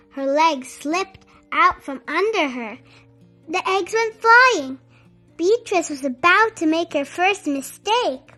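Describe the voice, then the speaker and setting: A woman reading a children's book aloud in an animated, deliberate, clearly enunciated storytelling style for young listeners. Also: high voice. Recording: music behind the voice. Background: music.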